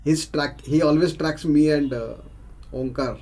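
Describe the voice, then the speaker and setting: A man with an Indian accent speaking haltingly as a participant on a video call. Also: fairly high pitch, fairly even intonation, darkish tone, a little hiss or hum. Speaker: club session participant.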